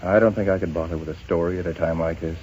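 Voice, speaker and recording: Guy playing calm reassuring 1930s radio hero, deep soft voice, muffled old recording. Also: a little hiss or hum, lightly acted. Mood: steady, concerned. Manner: soft-spoken, reassuring, measured. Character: calm, protective romantic leading man, ship passenger.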